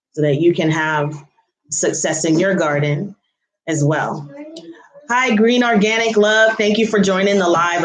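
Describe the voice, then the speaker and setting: A woman's voice: lowish voice, poor audio. A woman hosting a casual livestream, chatting and addressing viewers directly. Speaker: livestream host, home gardener. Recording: live stream with people talking behind.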